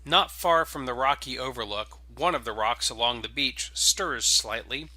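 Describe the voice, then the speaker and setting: A man's voice: medium pitch, normal speed, sharp tone, no room sound. A man with an informal, enthusiastic manner, hosting and reading stories aloud. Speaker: game writer and host introducing and reading stories. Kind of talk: casual podcast intro, then dramatic scripted narration.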